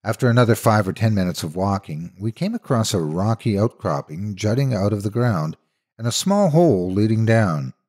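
A man narrating a scripted horror story in a dramatic, measured, suspenseful manner. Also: fairly low pitch, expressive, darkish tone.

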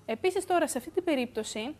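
A young woman, a beauty expert, explaining calmly in a TV studio on a lapel mic, with the odd "uh" filler. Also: high-pitched. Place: bright TV studio.

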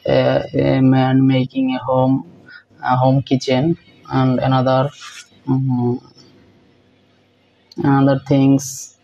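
A young man hosting a reaction video in halting, repetitive English with many fillers, filmed close on a phone in a small room. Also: medium pitch, completely flat delivery, creaky, highs cut off. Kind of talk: casual reaction commentary.

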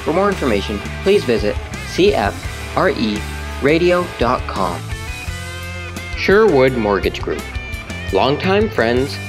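A man reading scripted sponsor announcements as a voice-over. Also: medium pitch. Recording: voice-over over guitar music.

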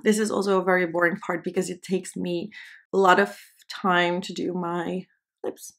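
A young Dutch woman talks through her makeup routine in a loose, self-mocking way, her voice often slipping into a gravelly fry. She sits close to the camera in a small indoor studio. She is speaking English, which is not her first language. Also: lowish voice, little pitch movement, moderate pace, a bit bright. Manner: chatty, self-deprecating, talks while applying makeup. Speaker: beauty vlogger. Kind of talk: casual makeup tutorial chat.

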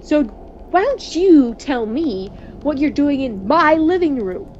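Smug, indignant visual novel guy, played by a woman, higher pitch, slightly muffled. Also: very animated, takes it slowly, a little mellow, heavily acted. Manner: haughty, accusing, dramatic. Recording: muffled, noisy home recording.

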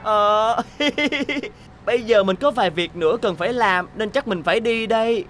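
A bright little boy bus from a cartoon. He chats along eagerly, showing off a bit, and covers his nerves with bashful laughs. The pitch sits a bit high over steady cartoon music. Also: a little slow. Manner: chatty, bashful, nervous laughs. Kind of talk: Vietnamese cartoon dub.

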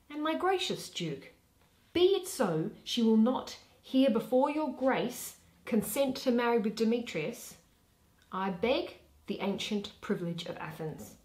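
A woman's voice: medium pitch, a little mellow. A woman voicing an angry, aggrieved old father in Shakespearean verse, declaiming emphatically.

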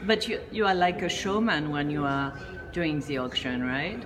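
A woman off camera puts questions to a guest, often stopping to rephrase them. Her voice sits fairly low. A long room echo and clear background noise surround her voice. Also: animated. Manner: halting, rephrases questions, laughs along. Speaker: interviewer. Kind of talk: interview questions.

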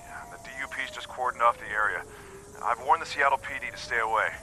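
An actor playing a protective, exasperated American policeman and older brother, brisk and practical, in a video game. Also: medium pitch, pushed and bright, slight background noise, low-quality recording. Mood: businesslike.

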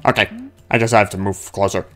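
A man's voice: on the low side, flat delivery, fast, fairly bright tone. A man giving casual, playful video game commentary as a let's play host, talking back to the game's characters.

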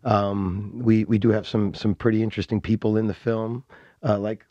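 Brief: man as podcast guest, low voice, rambling with fillers, close dry studio mic. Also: flat delivery, moderate pace, dark tone, dry recording, dull audio. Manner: rambling, many fillers and restarts. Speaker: interview guest, film director. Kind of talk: casual interview. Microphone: studio mic on boom arm.

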